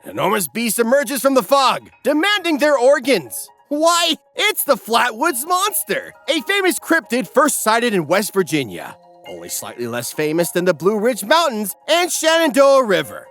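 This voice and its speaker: A man's voice: extremely high pitch, big pitch swings, normal speed, fairly bright tone. A male narrator delivers a comedic scripted recap with big swoops in intonation. He is wry and exaggerated in a mock-dramatic way, over a music bed. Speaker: narrator of an anime parody recap. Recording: music behind the voice.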